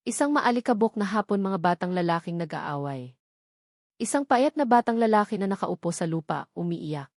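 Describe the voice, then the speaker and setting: Woman, scripted romance narration, dramatic storytelling, medium pitch, very dry close sound. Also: lively intonation, normal speed, a little mellow, dry recording.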